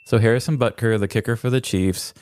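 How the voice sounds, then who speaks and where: A man's voice: medium pitch, fairly quick, a little mellow. A man co-hosting a podcast, talking in an earnest, conversational way and searching a little for his words. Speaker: podcast co-host. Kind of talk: personal, conversational podcast talk.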